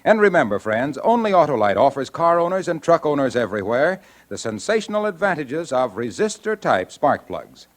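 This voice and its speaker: High, animated male radio pitchman. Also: normal speed, few pauses. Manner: emphatic sales delivery. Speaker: radio announcer and sponsor pitchman. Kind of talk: 1940s radio commercial announcing. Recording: vintage radio recording with music behind.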